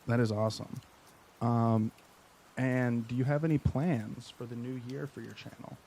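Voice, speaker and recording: A man's voice: mid-range voice, steady tone, moderate pace, vocal fry, dark tone, slight background noise. A man hosting a live stream, chatting enthusiastically with a guest and passing on questions from chat. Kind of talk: casual interview chat.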